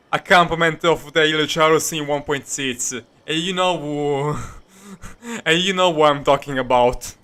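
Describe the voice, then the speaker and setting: Bright, high-voiced male game streamer. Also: smooth. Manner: giggly, rambling, often repeats himself. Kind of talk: casual live gameplay commentary. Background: faint game music.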